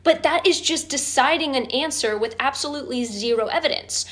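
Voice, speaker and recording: A woman's voice: high voice, brisk, a bit bright. A woman speaking as an online video creator, making an argument directly to her viewers.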